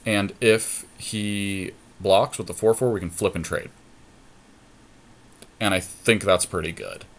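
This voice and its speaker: A man's voice: lowish voice, fairly even intonation, slow pace. A man co-hosting a podcast, chatting casually and thinking aloud as he plays a card game. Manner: deliberating, thinks out loud. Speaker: podcast co-host.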